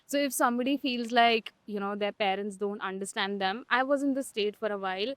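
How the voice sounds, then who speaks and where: A woman's voice: mid-range voice, brisk, no room sound. A young adult woman hosting a candid podcast conversation, emphatic and blunt, swearing for emphasis. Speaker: podcast host.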